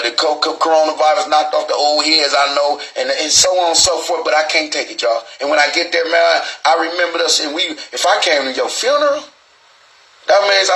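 A man's voice: a little high, bright, forceful tone, rough recording. Rambling, profane man in his forties. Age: 40s. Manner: rambling, profane, preachy with restarts and stutters. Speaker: show host. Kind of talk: freewheeling street monologue. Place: small recording booth.

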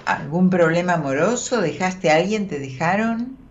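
A woman's voice: fairly low pitch, lively intonation, moderate pace. An Argentine woman hosting a live call-in tarot show, chatting informally and reassuringly with frequent tag questions. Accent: Argentine Spanish. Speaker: radio host and tarot reader. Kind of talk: live call-in advice chat. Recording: dull, band-limited audio.

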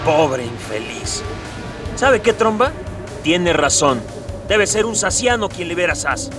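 High-voiced plucky young hero. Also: male voice, big pitch swings, measured pace. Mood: wry. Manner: decisive, instructing. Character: plucky, take-charge young male hero. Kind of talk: radio drama. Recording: distant mic under very loud music.

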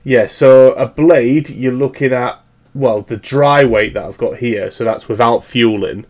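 A man's voice: mid-range voice, unhurried, darkish tone. A man in his early thirties co-hosting a casual chat, rambling with many fillers and laughing at his own jokes. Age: 30s. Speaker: podcast co-host.

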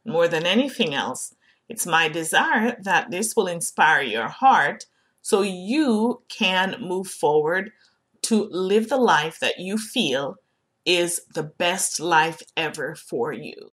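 Slow-talking, slightly hoarse woman host. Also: lowish voice, lively intonation, a bit bright. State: a little hoarse. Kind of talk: casual motivational livestream talk. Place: hotel room by a pool. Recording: noticeable background noise and music from outside. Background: music and activity outside.

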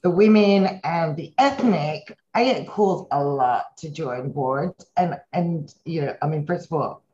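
A woman's voice: low-pitched, measured pace, dark tone, dull audio. A woman in her fifties who grew up in Hong Kong, a company chief executive answering interview questions in an earnest, reflective way. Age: 50s. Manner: earnest, reflective, speaks in long run-on thoughts. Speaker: company CEO, interview guest.

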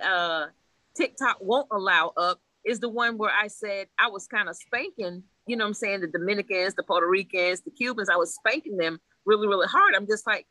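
A woman's voice: medium pitch, bright, forceful tone, no room sound, low-quality recording. A woman from Haiti, a priestess, talking bluntly and animatedly in a casual conversation, laughing often and swearing freely. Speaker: Ifa priestess guest.

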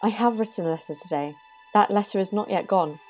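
A woman's voice: mid-range voice, a little fast, darkish tone, lightly acted. An actress playing a proud, defiant and indignant queen, regal and formal, in an audio drama. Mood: guarded, proud. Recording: music behind the voice.

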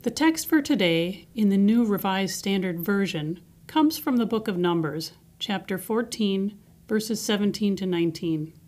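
Measured woman reading a devotional. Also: medium pitch, animated, darkish tone. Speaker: devotional reader. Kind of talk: scripted devotional reading and reflection.

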